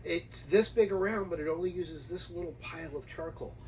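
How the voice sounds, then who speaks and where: A man's voice: fairly high pitch, brisk, a little mellow. A man chatting casually and enthusiastically as co-host of a travel vlog with his partner. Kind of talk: casual unscripted chat with partner. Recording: distant mic, noisy ambient background.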